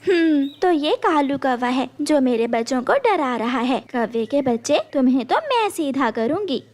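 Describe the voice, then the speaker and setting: A woman's voice: sits high, lively intonation, normal speed, a little mellow. A woman voicing a protective, determined mother bird in a children's cartoon. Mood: indignant.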